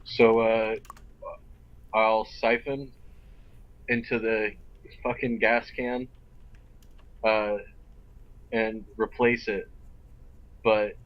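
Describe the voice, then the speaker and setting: A man's voice: lowish voice, level tone, drawn-out words, long pauses, lo-fi sound. A man playing a role-play game, chatting casually about what his character will do. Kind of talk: casual chat.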